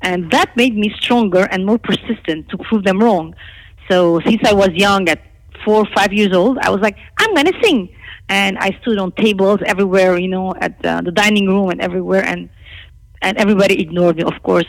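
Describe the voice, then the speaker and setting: Outspoken middle-aged Armenian-speaking woman, creaky voice. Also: medium pitch, a little fast, poor audio. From Lebanon. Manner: outspoken, emphatic. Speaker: interview guest. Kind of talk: candid interview talk about own life.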